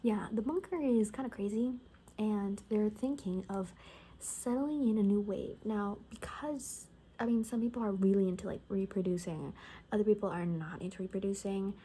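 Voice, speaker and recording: Soft-spoken, mellow woman in casual ASMR. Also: mid-range voice, normal speed, breathy edge. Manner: casual, rambling, wry, often dropping into whispers. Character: gossipy female bunker survivor in a zombie apocalypse. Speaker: ASMR roleplay host. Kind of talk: soft-spoken ASMR, improvised gossipy storytelling.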